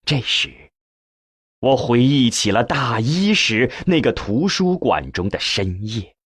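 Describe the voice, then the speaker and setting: A man's voice: mid-range voice, big pitch swings, slow, a bit bright, husky, dry recording. A man narrating a science fiction audiobook in the first person.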